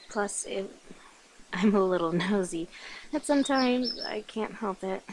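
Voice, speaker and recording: A woman playing a flirty, affectionate, slightly nervous vampire in an intimate ASMR roleplay, speaking softly, coyly and hesitantly to the listener. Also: mid-range voice, a little hiss or hum, natural voice, rough recording. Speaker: voice actor in an audio roleplay.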